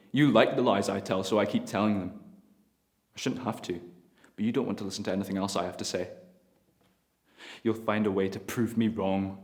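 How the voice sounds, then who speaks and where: A man's voice: mid-range voice, pitched above the performer's own voice, close to an everyday voice. A man playing a bitter son raging at his father. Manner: furious, swearing, voice climbing to shouts. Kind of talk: audition monologue. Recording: roomy echo.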